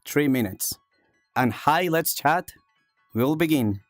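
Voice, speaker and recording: A male streamer from South America with a slightly high voice chats with his viewers, repeating phrases and thanking them eagerly. His chest is congested and he coughs now and then. Soft music plays in the background. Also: lively intonation, slow pace, dry recording, clean studio sound. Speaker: streamer and talk show host. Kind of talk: casual livestream chat with viewers.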